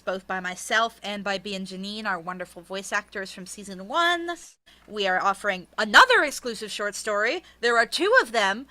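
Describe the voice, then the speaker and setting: A woman's voice: medium pitch, pushed and bright, no room sound. A woman speaking as an interviewed author in casual, chatty talk, candid and jokey with a self-deprecating streak. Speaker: author being interviewed about a crowdfunded book.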